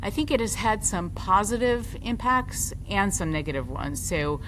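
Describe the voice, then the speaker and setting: A woman law professor giving an academic conference talk with a loud, articulate voice and lively, self-deprecating asides. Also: mid-range voice, moderate pace, a little hiss or hum, poor audio.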